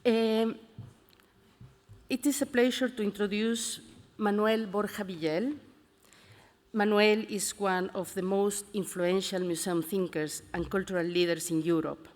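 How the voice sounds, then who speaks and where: A woman hosting a conference, formally introducing the next speaker in a measured way, as if reading from notes. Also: mid-range voice, fairly even intonation, darkish tone. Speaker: conference host. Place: large conference hall. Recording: echoey conference hall.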